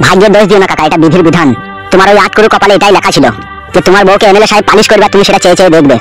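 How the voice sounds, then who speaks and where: A man's voice: sits high, very fast, a bit bright, soft background music. A man voicing a smug young henchman, bossy and persuasive in a comedy cartoon. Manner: bossy, matter-of-fact persuading.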